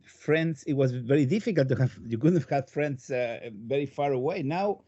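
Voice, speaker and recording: Hesitant man with a mellow voice, dry sound. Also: mid-range voice, expressive, normal speed, dry recording. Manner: hesitant, thoughtful, self-doubting. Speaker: podcast co-host. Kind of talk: interview questions, casual. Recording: dry, dull lo-fi audio.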